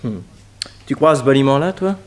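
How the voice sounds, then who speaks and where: An actor playing a sceptical, wry detective's sidekick, casual and colloquial, in a 1960s French radio drama. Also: male voice, mid-range voice, animated, a little fast, close to an everyday voice.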